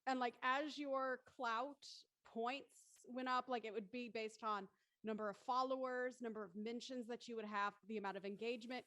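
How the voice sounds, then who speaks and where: A woman with a fairly high voice moderates a relaxed post-show chat. She explains things in casual language and puts questions to the others. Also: moderate pace. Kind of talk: casual explanatory panel talk.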